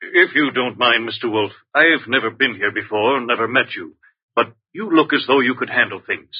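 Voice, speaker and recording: A man's voice: mid-range voice, normal speed, husky, close to an everyday voice. An actor playing an earnest, concerned man in an old radio drama. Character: concerned, earnest man seeking missing brother. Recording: muffled vintage radio recording.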